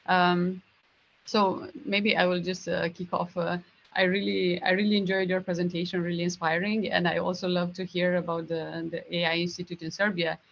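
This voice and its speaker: A woman moderates an online Q&A in a slightly low, creaky voice. She speaks haltingly with frequent pauses, and the call audio sounds a little dull. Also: flat delivery. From Romania. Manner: halting, pausing often mid-phrase. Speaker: session moderator. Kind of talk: informal Q&A moderating. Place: online Zoom conference.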